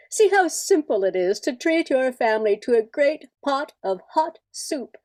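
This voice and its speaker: A woman's voice: sits high, dark tone. A woman playing a helpful 1940s American radio cooking-show hostess, sweetly selling a recipe in an instructive manner. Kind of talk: old radio sponsor recipe pitch.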